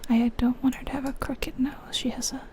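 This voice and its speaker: A woman whispering softly in an ASMR style, musing aloud over a game in an unhurried way, close to the microphone. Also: sits high, level tone. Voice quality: soft, breathy whisper. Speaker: ASMR video creator.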